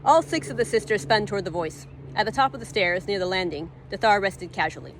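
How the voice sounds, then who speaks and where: A woman reading her own fantasy story aloud as dramatic narration, expressive, sometimes stumbling and repeating a phrase. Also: mid-range voice, a little fast, a little hiss or hum, low-quality recording.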